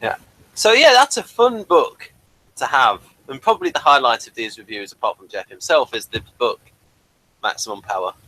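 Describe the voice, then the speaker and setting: A man with a bright, forceful voice chats casually as a regular on a review show. His pitch moves around in a lively way, while his delivery is hesitant and often self-correcting. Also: normal speed, poor audio.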